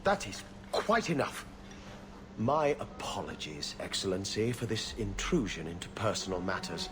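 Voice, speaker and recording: A lowish, pompous voice carries over noisy ambience. It is an officious, haughty court official for a fantasy video game, clipped and formal. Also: male voice, sing-song, normal speed. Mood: indignant, apologetic. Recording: loud ambient noise behind.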